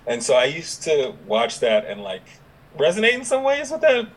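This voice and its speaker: A young adult man with no regional accent, chatting relaxed with many fillers as a podcast guest. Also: a little high, normal speed, breathy edge, low-quality recording. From Dominica, raised in Houston, Texas. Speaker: podcast guest, app founder. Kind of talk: casual interview conversation.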